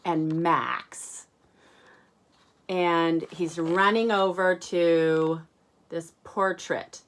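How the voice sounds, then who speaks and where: A woman teacher reading aloud to young children, chatting conversationally, explaining gently and asking them questions. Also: lowish voice. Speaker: kindergarten teacher.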